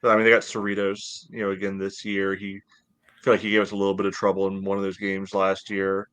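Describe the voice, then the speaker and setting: A man's voice: low-pitched, completely flat delivery, fairly quick, a bit bright, clear, resonant tone. An American man in his thirties co-hosting a casual sports podcast over a video call, talking conversationally. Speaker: podcast co-host. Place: home room, indoors, on a video call.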